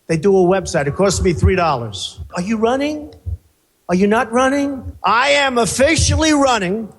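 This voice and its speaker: A man's voice: sits high, lively intonation, normal speed, husky, in a large hall. A man giving a boastful rally speech in short, blunt phrases, played into a phone call. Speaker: political speaker in a spliced prank clip.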